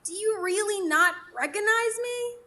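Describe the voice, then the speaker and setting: A woman's voice: very high-pitched, a little slow, pushed and bright, heavily acted. A woman playing a cheeky, muddled, childlike fairy beggar in a live radio drama, with playful theatrical delivery. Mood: whimsical, playful.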